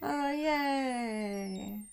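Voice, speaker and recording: A woman's voice: high-pitched, extremely slow, gravelly, music in the background, exaggerated voice. An actress playing a cocky, sarcastic woman hacker in an audio drama, dry and mocking. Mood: weary, dry. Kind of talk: scripted audio drama acting.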